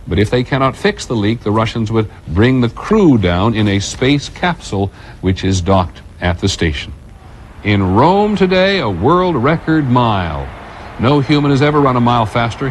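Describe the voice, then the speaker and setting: A male news anchor reads scripted headlines in a measured, authoritative voice at a middling pitch. The broadcast recording carries a faint hiss underneath.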